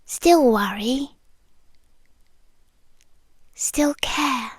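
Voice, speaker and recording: A British woman voicing a possessive, centuries-old creature girlfriend in an intimate ASMR roleplay, soft-spoken and often whispering, close to the microphone. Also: sits high, breathy edge, no echo, heavily acted. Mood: devoted, possessive. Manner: slow, intimate, deliberate pauses, slipping into whispers. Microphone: close, dry microphone.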